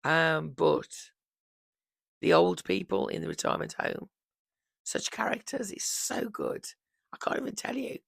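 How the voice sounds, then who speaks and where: A woman in her fifties, hoarse from losing her voice, chatting casually and rambling about books on her vlog into a microphone on a holder. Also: lowish voice, lively intonation, measured pace, long pauses, no echo. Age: 50s. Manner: chatty, rambling, warm fond asides. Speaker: book vlogger.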